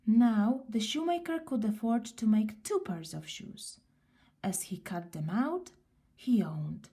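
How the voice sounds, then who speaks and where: Slow, mellow female storyteller. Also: a little high. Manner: unhurried, expressive narration. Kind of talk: children's story read aloud.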